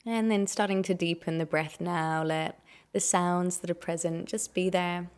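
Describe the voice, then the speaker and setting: A woman leading a guided meditation, giving gentle, unhurried instructions and soft invitations. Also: lowish voice, fairly even intonation, clear, resonant tone.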